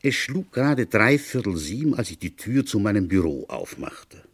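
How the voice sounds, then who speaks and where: An actor playing a gruff, world-weary middle-aged village police commissioner in a German radio crime play, talking in a grumbling, conversational way. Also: male voice, lowish voice, big pitch swings, moderate pace, full character voice. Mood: musing storytelling. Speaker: narrator and lead character.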